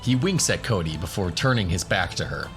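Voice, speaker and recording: A man's voice: low voice, animated, a little fast, a bit bright. A man giving scripted narration for a fantasy audio drama. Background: music.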